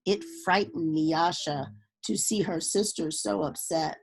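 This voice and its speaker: Female storybook reader, lowish pitch, unhurried and deliberate, for children's read-alouds. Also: gravelly, faint music behind.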